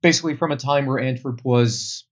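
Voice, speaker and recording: A man's voice: mid-range voice, fairly quick, muffled recording. A young Flemish man, a Dutch speaker, answering interview questions about tour guiding thoughtfully, with many fillers. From Belgium. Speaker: tour guide guest.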